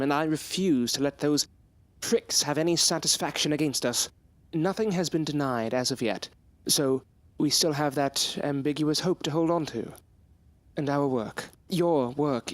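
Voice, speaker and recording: A man's voice: on the high side, dry recording, natural voice. An actor playing a proud, loyal male scientist in an audio drama, speaking in a formal, articulate, theatrical way. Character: proud, defiant, loyal male scientist, courteous but bitter. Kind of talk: period audio drama acting.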